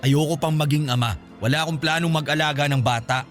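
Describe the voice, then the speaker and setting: An actor playing an evasive, selfish young boyfriend in a Filipino radio drama, defensive and insistent. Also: male voice, on the high side, fast talker, natural voice. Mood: agitated, stubborn. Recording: music bed behind the voice.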